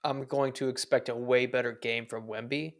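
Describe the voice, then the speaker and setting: A man co-hosting a sports podcast in a mid-pitched, everyday voice. He talks through his points in a steady, analytical way and drops the odd dry joke. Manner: measured, analytical, dry jokes. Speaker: podcast co-host. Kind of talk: casual sports podcast chat.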